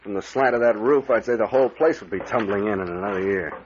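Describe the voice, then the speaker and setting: An actor playing a brash, fearless captain in an old-time radio mystery, hard-boiled and slangy, with an American accent. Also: male voice, lowish voice, fast, lightly acted. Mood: wry, bold. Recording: muffled, noisy old radio recording.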